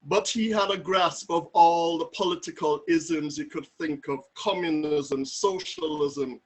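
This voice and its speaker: A man giving a tribute to his late father over a video call, reminiscing in a relaxed, conversational way with frequent fillers. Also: on the high side, measured pace, low-quality recording. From Jamaica. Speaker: son giving a memorial tribute. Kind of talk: personal spoken tribute. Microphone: video call mic.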